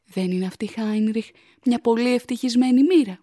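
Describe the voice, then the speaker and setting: Actress as an anxious young fiancée, softly mellow. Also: female voice, on the high side, dry recording, clean studio sound, lightly acted. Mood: wistful reproach. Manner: earnest, formal, pleading. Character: anxious, pious young Viennese fiancée, tender and reproachful. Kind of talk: radio drama acting.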